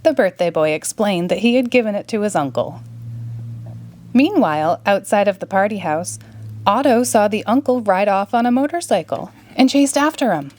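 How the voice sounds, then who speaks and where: A woman narrating a children's story in a clear, expressive read-aloud manner. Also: mid-range voice, moderate pace. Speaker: storybook reader.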